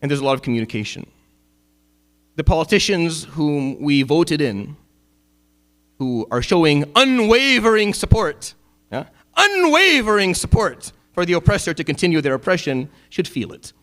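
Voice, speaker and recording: A middle-aged man from Syria, giving a Friday sermon as an imam, impassioned at times and dropping low and wry at others. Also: very animated, moderate pace, fairly bright tone. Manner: impassioned, rising to emphatic peaks then dropping low and wry.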